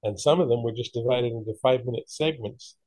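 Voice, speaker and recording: A man in casual conversation, low-key and agreeable as he talks. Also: fast, darkish tone, no echo, rough recording. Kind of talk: casual chat.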